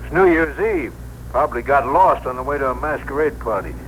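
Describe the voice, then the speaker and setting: An actor playing a talkative, good-humored older cab driver, colloquial and blustery, in a 1960s radio drama. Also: male voice, medium pitch, fairly quick, natural voice. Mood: friendly. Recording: noisy vintage radio broadcast recording.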